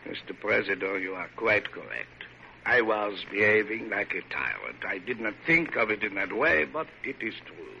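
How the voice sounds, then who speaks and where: A noisy old radio drama recording of a proud French major. The voice is lowish and very bright, nasal and French-accented, with courteous, formal phrasing. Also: male voice, sing-song, moderate pace, gravelly, highs cut off, full character voice. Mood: contrite.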